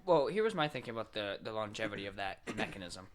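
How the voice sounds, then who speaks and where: A man's voice: on the low side, sing-song, fast, a little hiss or hum. A man co-hosting a podcast, explaining things in casual, informal conversation. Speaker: podcast co-host. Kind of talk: casual tech chat.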